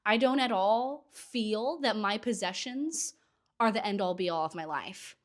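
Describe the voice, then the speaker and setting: A woman's voice: medium pitch, unhurried. Woman in her thirties, podcast host, talking casually and eagerly, still a bit worn from a cold, mid-range voice. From Southern California. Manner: chatty, enthusiastic, with short laughs. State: getting over a cold. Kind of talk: casual solo podcast monologue.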